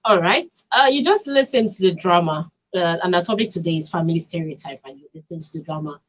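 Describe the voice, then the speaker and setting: A woman's voice: fairly low pitch, fast talker. A woman with a Nigerian accent hosting a live talk radio show in a studio. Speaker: radio host.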